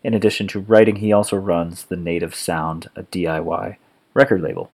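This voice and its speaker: A man hosting a podcast, asking his guest questions in a friendly, relaxed way. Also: deep voice, fairly quick, slightly rough. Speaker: podcast host. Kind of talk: podcast interview.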